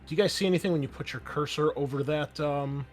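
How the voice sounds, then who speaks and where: A man narrating a tabletop roleplaying game as game master in a casual, conversational, halting way. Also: fairly high pitch, faint music behind.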